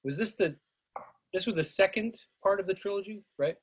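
Husky man hosting an informal discussion. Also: sits high. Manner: halting, digressive. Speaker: actor and director hosting a play reading. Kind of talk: casual discussion.

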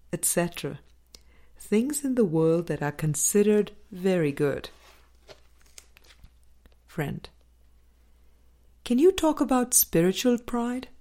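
Slow, low-voiced woman reading an audiobook. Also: warm tone. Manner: measured, deliberate phrasing with frequent pauses. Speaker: audiobook reader. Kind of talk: scripted audiobook narration of a spiritual dialogue.

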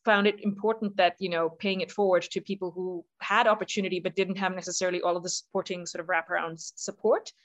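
A woman's voice: fairly low pitch, steady tone, fast talker, hardly any pauses. A middle-aged woman from southern India giving earnest, articulate, explanatory answers as an interview guest, stressing her key points. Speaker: interview guest, youth employment leader.

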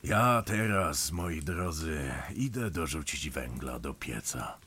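A very deep, husky storyteller. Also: male voice, expressive, bright, forceful tone, heavily acted. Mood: musing. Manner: unhurried, confiding. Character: homely old-style male storyteller by the stove. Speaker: storyteller narrator. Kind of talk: scripted storytelling narration.

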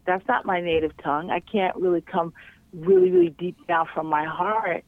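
A woman's voice: on the low side, expressive, normal speed, creaky, dry recording. A woman in casual conversation as a guest, chatty and full of fillers and restarts. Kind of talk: casual chat. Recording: tinny phone line.

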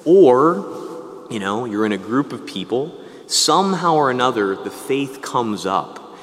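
A man's voice: mid-range voice, very animated, a little slow, fairly bright tone. A man, a parish priest, giving a chatty, self-deprecating Sunday homily with jokes. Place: church. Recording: long church reverb.

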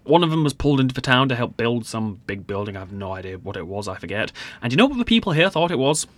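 A man's voice: mid-range voice, big pitch swings, fast talker, no room sound, lightly acted. A man playing a talkative, easygoing, joking secondary-school pupil in a light comedy audio drama, rambling playfully.

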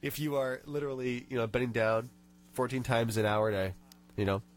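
A man's voice: medium pitch, steady tone, a little fast. A man hosting a radio show in a studio, nasal from a cold, chatting and reading tips aloud with small rants. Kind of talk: casual radio chat.

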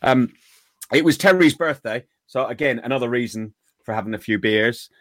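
A man's voice: mid-range voice, normal speed, a bit bright, no echo. Rambling Irish man hosting. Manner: rambling, many restarts. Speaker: podcast host. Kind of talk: casual fan chat.

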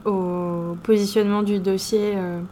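Woman, podcast host, casual questions, mellow flat voice, faint background noise. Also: mid-range voice, unhurried. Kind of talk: interview questions.

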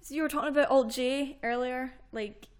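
A young woman with a fairly low voice, chatting casually and rambling with lots of fillers as a podcast co-host. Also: steady tone, a little fast. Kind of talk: casual chat.

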